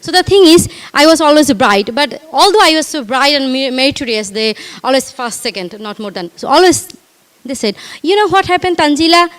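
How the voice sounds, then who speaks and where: A middle-aged woman from Bangladesh with a Bangladeshi accent, giving an earnest personal speech at a community event, halting and repeating herself as she speaks to the audience. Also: high-pitched, expressive, fairly bright tone, long reverb tail. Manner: earnest, repetitive, halting, addresses audience directly. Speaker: guest speaker. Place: community hall.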